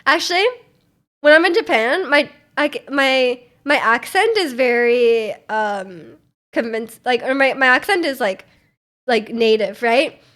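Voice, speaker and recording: Young woman streamer from Canada, high voice, casual giggly rambling chat. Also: animated, measured pace, fairly bright tone. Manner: rambling, giggly, many fillers and restarts.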